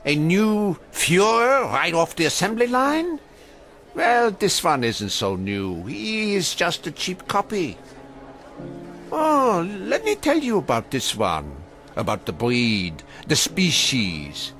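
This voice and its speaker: An old immigrant man, weary yet defiant, in a theatrical radio play. He speaks slowly with big swings of pitch and bitter emphasis, over music. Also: wildly swooping pitch, fairly bright tone, full character voice. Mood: scornful, defiant. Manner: slow, emphatic, bitterly rhetorical with repeated phrases. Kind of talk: theatrical radio drama acting.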